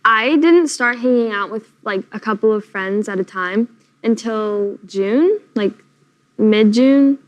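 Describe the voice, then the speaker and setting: A woman's voice: fairly high pitch, slow pace, highs cut off. A teenage girl on a panel telling a story animatedly, acting out quoted asides. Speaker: panel guest. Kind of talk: casual chat.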